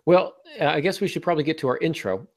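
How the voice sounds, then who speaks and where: A man's voice: a little high, expressive, fairly quick, vocal fry, fairly bright tone, no room sound. A man hosting a podcast in a casual, chatty way, sounding congested and a little under the weather. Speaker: podcast host.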